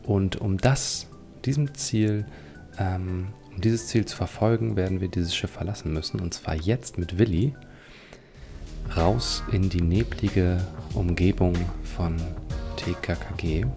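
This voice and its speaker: Very deep, creaky-voiced man commentating. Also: measured pace, over music. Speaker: let's play host. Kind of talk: leisurely video game commentary.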